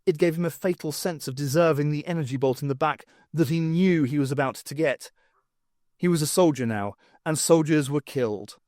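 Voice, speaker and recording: A male audiobook narrator tells a story with expressive, wry delivery. His voice sits a little high for a man. The sound is close and dry, with hardly any room to it. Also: brisk, no room sound. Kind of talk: dramatic scripted narration of fiction.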